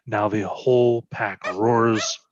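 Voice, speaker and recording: A man's voice: lowish voice, fairly even intonation, slow, very noisy. A man reading stage directions as dramatic scripted narration for a readers theatre. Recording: dull, lo-fi sound.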